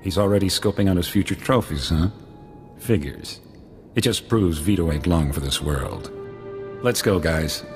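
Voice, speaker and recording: A menacing thug from a video game, speaking in a very deep register. He taunts and threatens with a sneer, in a long reverberant space over clearly audible music. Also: moderate pace. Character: thuggish, sneering, menacing male gangster heavy.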